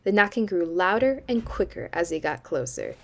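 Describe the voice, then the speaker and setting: A young woman acting as a sardonic, eerie campfire storyteller in a student audio drama, with dry, deliberate delivery. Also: lowish voice, big pitch swings, fairly bright tone. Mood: ominous, measured. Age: young adult.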